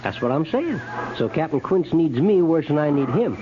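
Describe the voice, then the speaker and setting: Noisy old-radio griping frontier trooper. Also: male voice, on the high side, very animated, moderate pace. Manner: folksy, ungrammatical frontier drawl, sardonic. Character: brash griping cavalry private, frequent stockade prisoner. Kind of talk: 1950s radio western acting. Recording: muffled, noisy 1950s radio recording.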